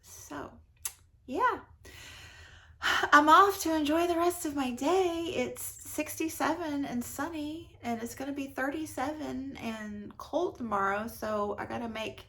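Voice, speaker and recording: A woman's voice: sits high, unhurried, bright, forceful tone. A woman talking casually and enthusiastically about books as a vlogger, in an unscripted, conversational way. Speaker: book vlogger reviewing her recent reads. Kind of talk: casual, unscripted book chat to camera.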